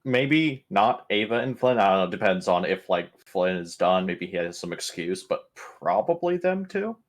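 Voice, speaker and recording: A man's voice: low voice, big pitch swings, normal speed. A man chatting casually as a tabletop game player, blunt and sardonic, swearing freely. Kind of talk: casual out-of-character game discussion.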